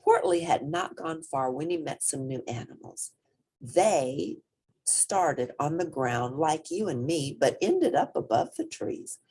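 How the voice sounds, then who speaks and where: A woman reading a children's picture book aloud as an expressive storyteller, with dramatic emphasis. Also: low-pitched, slow, husky.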